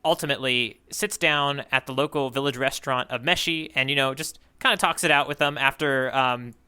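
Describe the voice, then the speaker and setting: A man's voice: medium pitch, fairly quick, bright, forceful tone, clean tone. A man co-hosting a podcast, casually recapping a story in a rambling, self-correcting, hesitant way. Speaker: podcast co-host recapping manga chapters.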